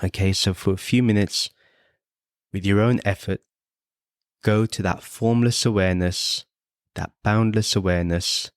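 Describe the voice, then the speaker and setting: A man teaching a guided meditation in a measured, instructive way, speaking in short, repeated phrases with pauses. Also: on the low side, fairly even intonation, smooth, no echo, clean studio sound. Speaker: meditation teacher and podcast host.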